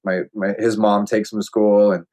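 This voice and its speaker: A man's voice: on the low side, level tone, moderate pace, warm tone. A man in his late twenties chatting casually, rambling and filling his talk with "like" and restarts. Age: 20s. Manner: rambling, heavy use of "like". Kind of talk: casual chat.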